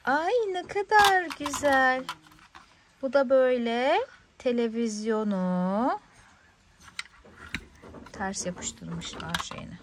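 A woman with a high voice talks at an unhurried pace to a little child. She is playful and encouraging and keeps asking questions as in a toy unboxing. There is faint noise and some clicking in the home recording. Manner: encouraging, question-filled, child-directed talk. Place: indoors on a living-room sofa.

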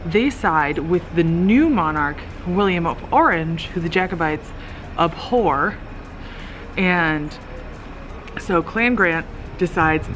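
A woman in her twenties chatting casually to a camera at arm's length outdoors, telling stories in an animated way with casual asides. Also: lowish voice, background noise. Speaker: travel vlogger. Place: outdoors at castle ruins and scenic viewpoints.

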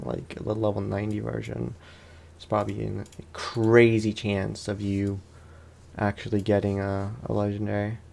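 A man's voice: on the low side, gravelly, a little mellow. A man doing casual let's play commentary, talking in an informal, slangy way and often repeating his phrases. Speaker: gaming streamer.

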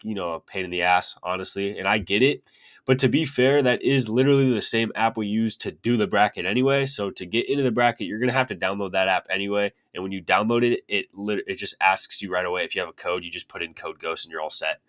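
Sick young man with a lowish voice. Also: fairly bright tone. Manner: rapid, filler-heavy, repeats "literally". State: sick, sniffly. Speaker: sports betting picks creator. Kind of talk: casual talk to camera. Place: small room indoors.

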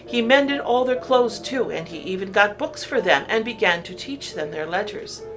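A woman narrating a children's story from a script, in an expressive, deliberate storytelling way. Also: medium pitch, faint music behind, rough recording.

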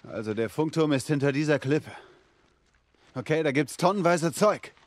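A man's voice: fairly high pitch, expressive, moderate pace, no echo, close to an everyday voice. An actor playing a young male survivor in a video game, a protective and confident older brother who speaks informally and assertively. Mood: relieved. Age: young adult. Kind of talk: dramatic video game acting.

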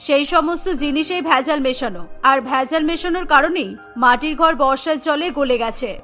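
A woman voicing a calm, authoritative female police officer in a cartoon, speaking in a measured, firm way. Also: high-pitched, soft background music. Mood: firm, explaining. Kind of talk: cartoon character dialogue.

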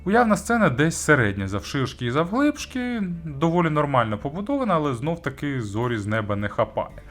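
A man's voice: a little high, very animated, brisk, fairly bright tone. A man giving a conversational product review as host, searching for words and adding informal asides. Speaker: audio gear reviewer and host.

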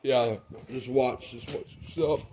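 A man's voice: medium pitch, fairly even intonation, takes it slowly, noisy background. A man talking casually and informally as he gives a walking house tour, often hesitating and repeating himself. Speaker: vlogger giving a house tour. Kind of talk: casual chat while walking around. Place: house under construction and its muddy yard.

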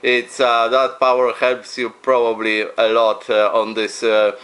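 A friendly man talks as an amateur radio operator. His English is hesitant and polite, and he spells out call signs phonetically. His pitch sits in the middle for a man. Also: completely flat delivery, unhurried, a bit bright, clean tone. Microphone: radio desk microphone.

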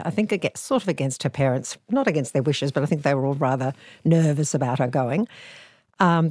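A woman in her sixties with an Australian accent, an actor recalling her family history in a measured, reflective way. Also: rumbling low, dry recording, studio quality. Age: 60s. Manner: thoughtful, measured, gentle. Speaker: actor and author guest. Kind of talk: reflective interview storytelling. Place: living room, seated on a sofa.

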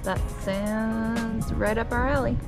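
Slow-talking young woman vlogger. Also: a little high, steady tone, music in the background. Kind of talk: casual travel vlog narration. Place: in a car and outdoors in desert.

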